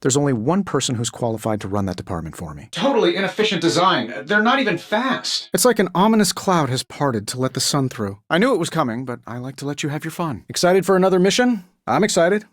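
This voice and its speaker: A wisecracking superhero inventor, speaks in a fairly high, very animated voice. He is self-assured and sardonic, tossing off conversational game banter in a close, dry sound. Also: fast, no echo. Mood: teasing, amused, then animated, upbeat. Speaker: video game character voice. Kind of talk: scripted game voice lines, quippy banter.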